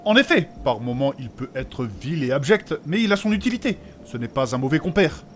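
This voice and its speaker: A man plays a prim, earnest mage from a fantasy audio drama. His voice sits fairly high and his phrasing is courteous, pompous and old-fashioned. A faint noise lies under the recording. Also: big pitch swings, brisk. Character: formal, principled religious male mage, earnest and prim. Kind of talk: audio fantasy comedy acting.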